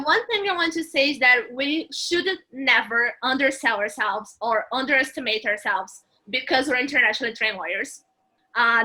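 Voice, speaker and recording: A woman's voice: fairly high pitch, sharp tone, low-quality recording. A woman speaking as a webinar panelist, giving an encouraging, motivational talk to peers. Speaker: panelist, internationally trained lawyer.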